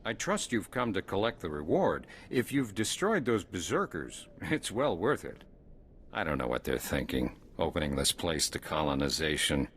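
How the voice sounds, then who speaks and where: A dignified task force leader in a sci-fi game. His voice is extremely deep yet moves widely in pitch, formal and courteous, with faint noise. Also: big pitch swings, moderate pace, a bit bright. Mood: courtly. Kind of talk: video game character acting.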